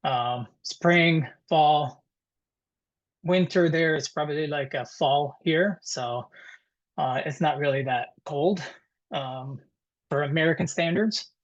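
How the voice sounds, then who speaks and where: Italian man with a higher-pitched voice. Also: takes it slowly. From Italy. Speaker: guest presenter, dental technician. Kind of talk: informal webinar presentation. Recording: dull, muffled webinar audio.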